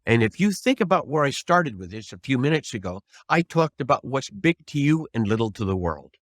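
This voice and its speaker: A man's voice: medium pitch, big pitch swings, brisk, no echo, studio quality. An older man, a trial lawyer, giving advice as an interview guest in an earnest, emphatic, folksy way with rhetorical questions.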